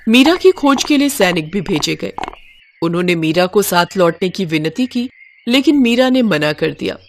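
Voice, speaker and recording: A woman's voice: medium pitch, lively intonation, normal speed, slight background noise. A woman storyteller reading a scripted devotional story.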